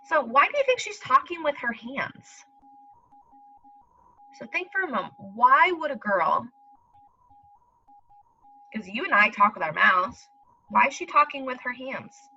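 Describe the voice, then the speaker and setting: A woman's voice: sits high, very animated, a little slow, pauses often, bright, forceful tone, slightly rough, highs cut off. A woman teacher leading a read-aloud lesson for young children, explaining step by step and speaking directly to them. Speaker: elementary school teacher.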